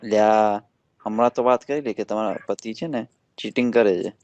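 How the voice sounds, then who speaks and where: A man's voice: lowish voice, normal speed, a little mellow, no room sound. A man with a Gujarati accent improvising a prank call in slangy, colloquial speech. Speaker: prank caller. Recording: dull lo-fi dry sound.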